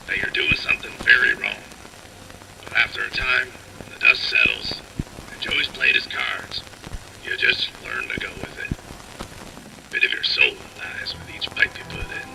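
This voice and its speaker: Gravelly male repairman, weary, resigned, swooping intonation, game audio log, noisy old recording. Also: mid-range voice, heavily acted.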